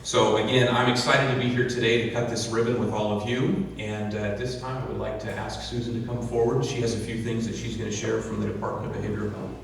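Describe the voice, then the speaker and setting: A man's voice: lowish voice, flat delivery, fast talker, gravelly, hardly any pauses, a little hiss or hum. A man giving an earnest thank-you speech at a ribbon-cutting ceremony, with many fillers and restarts. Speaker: county official giving a speech. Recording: distant echoey outdoor PA sound.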